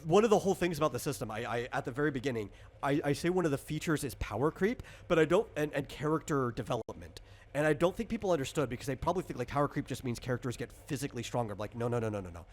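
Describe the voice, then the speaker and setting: A man casually explaining tabletop game rules as a game master, in a rambling, halting way that circles back and rephrases. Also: mid-range voice, animated.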